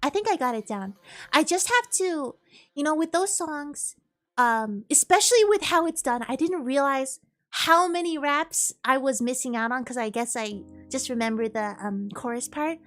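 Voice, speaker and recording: Bubbly high-voiced woman streamer. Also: animated, moderate pace, fairly bright tone. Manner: bubbly, upbeat, with playful bits of singing. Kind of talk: casual chat with stream viewers. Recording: faint music behind.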